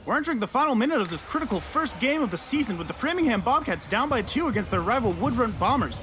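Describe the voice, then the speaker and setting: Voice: mid-range voice, quick pace, music in the background, lightly acted. An actor playing a frantic, melodramatic sports announcer calling basketball play by play in a radio comedy. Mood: urgent. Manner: excitable, dramatic. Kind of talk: basketball commentary. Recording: noisy arena crowd behind.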